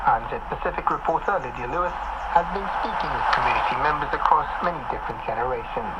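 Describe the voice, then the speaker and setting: Fast male newsreader through heavy radio noise. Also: mid-range voice. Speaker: radio news presenter. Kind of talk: scripted news reading. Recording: very noisy shortwave radio reception.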